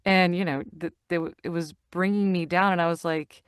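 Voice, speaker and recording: Animated fifties woman, dry sound. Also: fairly low pitch, brisk, clean tone, dry recording. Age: 50s. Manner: animated, emphatic, repeats phrases. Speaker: guest, actor and audiobook narrator. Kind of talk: casual interview conversation. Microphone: video call.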